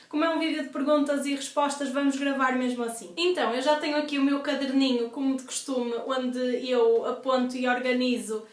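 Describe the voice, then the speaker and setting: Young Portuguese woman vlogger, congested and chatty, recorded a bit far off in a roomy space. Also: sits high. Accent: European Portuguese. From northern Portugal. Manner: chatty, explanatory, many fillers. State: congested from asthma. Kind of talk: casual Q&A vlog. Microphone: device camera at a distance. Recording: echoey room, voice off-mic.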